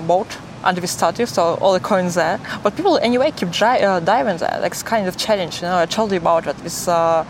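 Young woman, Russian accent, animated casual storyteller, recorded outdoors amid loud city traffic noise. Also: fairly low pitch. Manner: animated, giggly, uses filler "like" heavily. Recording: noisy city street with traffic.

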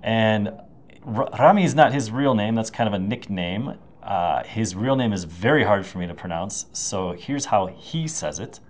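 A man hosting a travel podcast, talking in a relaxed, chatty way and thinking aloud with fillers while interviewing a guest. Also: on the low side, normal speed. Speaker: podcast host. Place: hostel in rural China.

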